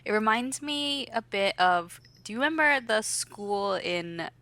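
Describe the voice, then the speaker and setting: A young adult woman co-hosting a podcast with her mother, talking in quick, animated, laughing banter. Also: mid-range voice. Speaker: podcast co-host. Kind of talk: casual chat.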